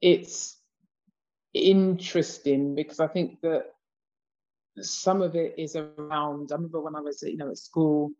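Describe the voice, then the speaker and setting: A woman's voice: sits low, level tone, unhurried, warm tone, dull audio. A British woman in her fifties talking reflectively in a casual conversation, with many "you know" asides. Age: 50s. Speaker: discussion participant.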